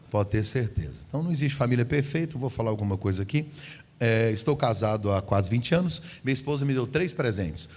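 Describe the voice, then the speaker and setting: A man in his forties from Goiás, Brazil, preaching as a visiting pastor at a family service, chatty and humorous, acting out dialogue. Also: a little high. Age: 40s. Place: church sanctuary. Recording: long hall reverb in a church.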